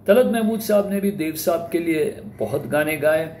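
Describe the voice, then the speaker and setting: A man's voice: on the high side, expressive, normal speed, a little mellow. A man hosting an informal, explanatory talk on music history, respectful in the way they name artists and mixing in English words. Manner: speaks Hindi with English words mixed in. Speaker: host presenting film music history. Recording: roomy echo, faint background noise.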